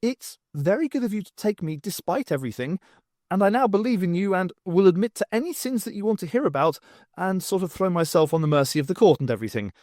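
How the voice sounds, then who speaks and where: Man as high-pitched, excitable adventuring woman. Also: quick pace, no echo, studio quality. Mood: grateful, flustered. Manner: rambling, polite, awkward. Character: wry, excitable thirty-something woman adventurer. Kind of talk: audiobook character voice.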